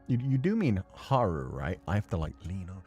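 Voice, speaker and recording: Expressive low-voiced man streaming a game. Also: normal speed, faint music behind. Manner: playful, expressive. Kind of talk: casual game livestream commentary.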